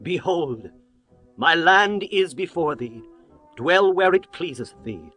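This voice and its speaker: A man's voice: on the high side, expressive, slow pace, sharp tone, soft background music, full character voice. A man playing an indignant, righteous ancient king in a dramatized scripture audiobook, speaking in formal, declamatory archaic phrasing. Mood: magnanimous, firm.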